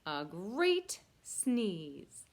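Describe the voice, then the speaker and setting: A woman voicing a chatty, self-justifying wolf in a children's picture book read-aloud, in an animated, conspiratorial way. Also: mid-range voice, extremely slow, heavily acted. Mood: confiding.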